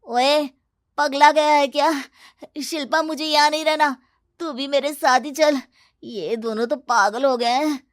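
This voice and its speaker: A woman's voice: high-pitched, steady tone, measured pace. A woman voicing a jumpy, grumbling young man who is scared of ghosts, exclaiming and complaining in a cartoon story. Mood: panicked, angry. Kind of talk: animated cartoon story acting.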